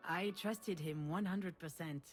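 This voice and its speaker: A woman's voice: on the low side, measured pace, close to an everyday voice. Woman acting as a wry thoughtful big sister in a story game, earnest, natural delivery, music behind. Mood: wistful. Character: protective, reflective adult older sister and grieving mother. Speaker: game protagonist. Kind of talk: naturalistic drama voice acting, dialogue and inner monologue.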